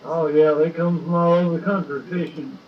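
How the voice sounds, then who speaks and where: A man's voice: on the high side, steady tone, a little fast, slight background noise. A man on a video call giving short, friendly answers. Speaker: caller on a video chat. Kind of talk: casual chat. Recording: distant, dull call audio.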